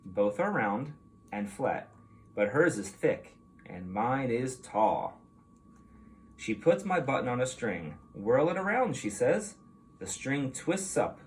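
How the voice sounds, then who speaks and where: A man reads a storybook aloud for young children in a medium-pitched voice. His intonation is animated and expressive, explaining as a teacher would. There is faint noise behind him. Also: slow pace.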